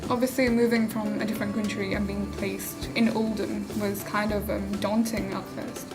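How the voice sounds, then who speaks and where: A teenage girl from Pakistan speaks in a measured, thoughtful way. Her delivery is flat and level, with background music underneath. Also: mid-range voice. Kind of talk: casual group interview.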